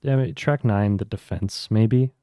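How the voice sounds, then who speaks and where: A man's voice: lowish voice, unhurried, dark tone, clear, resonant tone, no room sound, clean studio sound. A man co-hosting a casual album-review podcast, gushing with enthusiasm and swearing freely, his voice worn from a long session. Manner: enthusiastic, swearing, laughing often. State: losing his voice. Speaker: podcast co-host. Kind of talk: casual music review chat.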